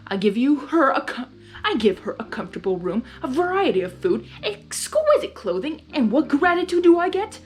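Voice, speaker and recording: Woman as male storybook chaos villain: petulant, boastful, high-pitched, wildly swooping delivery. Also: sing-song, normal speed, soft background music. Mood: indignant. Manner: exasperated, melodramatic, boastful. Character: petulant, theatrical male chaos creature, self-important villain.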